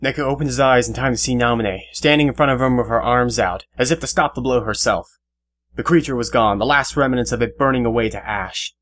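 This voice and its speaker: A man's voice: medium pitch, a little fast, a bit bright. Male story narrator over guitar music.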